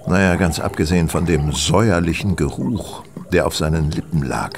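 A man playing a brilliant, eccentric, self-assured detective in a German radio play, with a lecturing and excitable manner. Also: low-pitched, moderate pace. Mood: confident, lecturing. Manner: theatrical, lecturing, self-satisfied.

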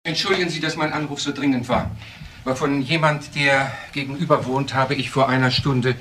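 A man's voice: mid-range voice, fairly even intonation, fairly quick, background noise, lightly acted. A man playing a polite, precise professional in a German crime radio drama, speaking formally and carefully. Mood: earnest, insistent.

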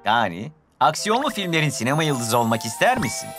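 A man voicing a suave, excitable sports-car movie star in a children's cartoon, with a persuasive, showy manner. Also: mid-range voice, a little fast. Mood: smooth persuasion. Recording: music behind the voice.

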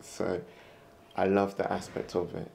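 A man speaks in a low, gravelly voice, calm and reflective. His tone is mellow and fairly flat. Faint studio hum sits behind him. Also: a little slow. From London. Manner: calm, reflective, soft-spoken. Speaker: woodturner, interviewee. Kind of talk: interview. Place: woodturning studio workshop.

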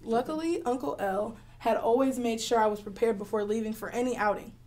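A woman playing an adventurous, clever girl heroine who tells her own story in a radio drama, earnest and expressive. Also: a little high, brisk, natural voice. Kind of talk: first-person radio drama narration.

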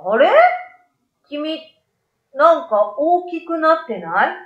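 A woman voicing an excitable, incredulous young boy with emphatic, casual childlike speech in a storybook reading. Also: high voice, takes it slowly, roomy sound, narrow, tinny audio, voice pushed up, full character voice. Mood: puzzled. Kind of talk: storybook radio drama reading.

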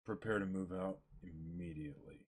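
A laid-back navy admiral read by a man from a comic, low and husky in voice. He rambles lazily and forgetfully, as if nothing is worth the bother. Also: normal speed. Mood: weary, casual. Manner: drawling, forgetful, unbothered. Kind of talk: dramatic comic-book reading.

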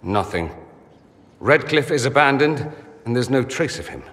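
A man's voice: mid-range voice, expressive, moderate pace, a bit bright, long reverb tail, lightly acted. An actor playing a witty, vain, wounded nobleman mage in a fantasy game, articulate and theatrical. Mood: mournful, reflective. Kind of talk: dramatic fantasy game dialogue.

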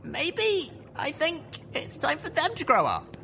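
A man's voice: very high voice, wildly swooping pitch, slow pace, gravelly, pushed and bright, slight background noise, pitched above the performer's own voice, full character voice. A man voicing a young pony mare heroine, earnest, defiant and idealistic, in a dramatised audiobook. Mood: stern, defiant. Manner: stern, earnest speechmaking.

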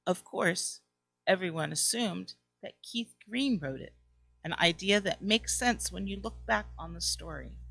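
Creaky-voiced middle-aged woman reading. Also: on the low side, unhurried, fairly bright tone, soft background music. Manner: reading aloud. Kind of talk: scripted narration. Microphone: close microphone on a boom stand.

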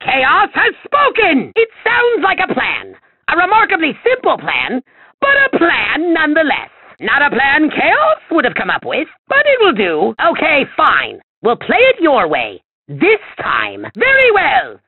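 A man voicing a small, bombastic, petulant evil-genius villain for a video game, with a shrill, nasal, scratchy voice and pompous theatrical delivery. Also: wildly swooping pitch, no room sound, phone-quality sound, heavily acted. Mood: grudging. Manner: pompous, theatrical, drawn-out boasts and sudden shouts.

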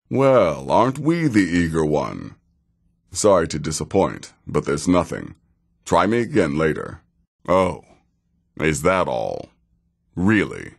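An actor playing a sardonic, world-weary assassin in a fantasy video game, with a dry, deliberate delivery. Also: male voice, rumbling low, heavily acted. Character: sardonic, world-weary veteran male assassin of a dark guild.

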